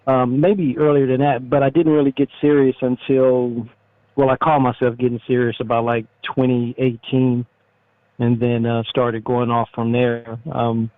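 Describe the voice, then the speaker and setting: A man's voice: mid-range voice, flat delivery, moderate pace, dry recording. A middle-aged American man giving a casual, easygoing interview over the phone, speaking informally. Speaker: interviewed motorcycle YouTuber, retired military. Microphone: phone call. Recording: muffled narrowband phone line.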